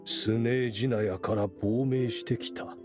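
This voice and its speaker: A man playing a weary, solemn older mentor in a Japanese game, speaking gravely and deliberately, with music clearly behind him. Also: medium pitch, fairly even intonation, close to an everyday voice. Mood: solemn, remorseful.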